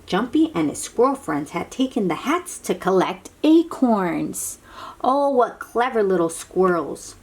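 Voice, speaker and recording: A woman reading a picture book aloud to young children, dramatically, with asides and questions to the listeners. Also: medium pitch. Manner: expressive, drawn-out, dramatic delivery with hushed moments.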